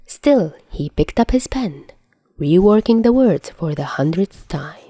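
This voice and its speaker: A woman's voice: fairly low pitch, animated, measured pace, warm tone, husky, no echo. A woman narrating a story as a podfic reader, in a scripted read-aloud style.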